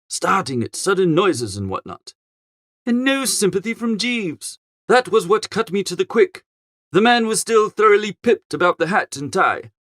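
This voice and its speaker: High-pitched, very animated male storyteller. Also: dry recording, studio quality. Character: flustered, chatty young English gentleman of leisure. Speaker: audiobook narrator. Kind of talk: animated first-person storybook narration in character.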